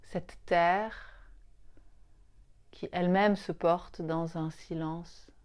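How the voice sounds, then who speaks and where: A woman leading a guided yoga nidra meditation in a soothing, unhurried way, with short phrases and long pauses. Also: fairly low pitch, steady tone, darkish tone.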